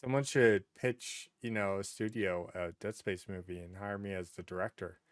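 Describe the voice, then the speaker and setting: A man chatting casually as a podcast host, telling stories in a rambling, excitable way and swearing freely. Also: low-pitched, normal speed, dry recording, studio quality.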